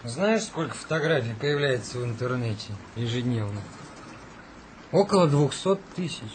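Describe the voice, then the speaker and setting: An actor in a radio play as a dreamy, cheeky photographer, laid-back and wry. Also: male voice, mid-range voice, lively intonation, normal speed, a little hiss or hum, natural voice, rough recording. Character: dreamy, cheeky male photographer, ambitious and unbothered.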